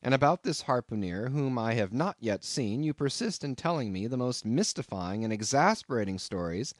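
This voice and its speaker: A man's voice: mid-range voice, no echo, clean studio sound, natural voice. A man reading an audiobook voicing an indignant young traveller who talks in formal, elaborate sentences. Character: indignant young male traveller, proper and wordy.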